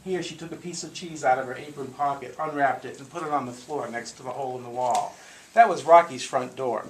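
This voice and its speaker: Male storyteller in a noisy, echoey room. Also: medium pitch. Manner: theatrical, deliberate, with playful asides. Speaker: live storyteller. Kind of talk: dramatic spoken storytelling to a live group. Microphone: small consumer video camera in the room. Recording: echoey noisy room, consumer camcorder audio.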